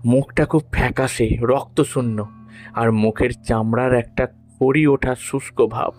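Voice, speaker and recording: A man reads a literary audio story in the first person at a medium pitch. His delivery is dramatic, expressive and deliberate, with music running behind the voice.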